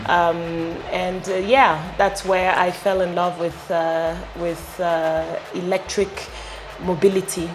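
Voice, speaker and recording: A middle-aged woman from Ghana, a company founder, answering interview questions with many pauses and fillers. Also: on the low side, little pitch movement, noisy background. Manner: halting, many fillers and restarts. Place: showroom with electric bikes. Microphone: lapel.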